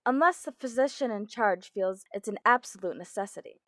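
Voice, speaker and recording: A woman's voice: fairly high pitch, fairly quick, fairly bright tone, lightly acted. A woman playing a polite but officious, unbothered hospital receptionist in an old-time radio drama. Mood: politely officious. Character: brisk, unbothered hospital switchboard receptionist, female.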